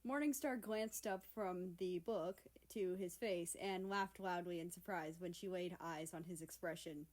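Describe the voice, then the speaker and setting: A woman's voice: fairly low pitch, unhurried, hardly any pauses. A woman narrating a scripted story as its reader. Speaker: fan fiction reader, narrator.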